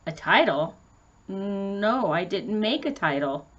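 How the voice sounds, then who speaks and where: A woman's voice: mid-range voice, very animated, takes it slowly, fairly bright tone, dull audio, exaggerated voice. A woman voicing an earnest schoolboy giving an oral report in a storybook, in a plain manner. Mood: hesitant.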